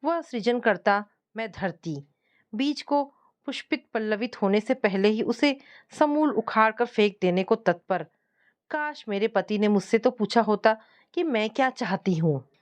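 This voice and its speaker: A woman's voice: mid-range voice, lively intonation, measured pace. A woman storyteller reading a scripted first-person family drama.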